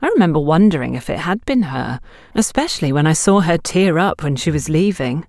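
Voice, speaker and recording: A woman's voice: deep voice, expressive, fairly quick, darkish tone, no echo, studio quality. A woman voicing a suspicious, confiding young female shop worker in an audiobook, telling what they know warily. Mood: confiding, wary. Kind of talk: audiobook character dialogue.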